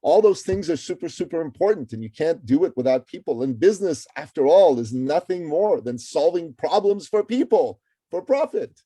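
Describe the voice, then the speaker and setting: Emphatic, dark-voiced man from Iran. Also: a little high, normal speed, breathy edge, no room sound. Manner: energetic, emphatic, repeats words as he speaks. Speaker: guest, author and entrepreneur. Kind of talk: interview conversation.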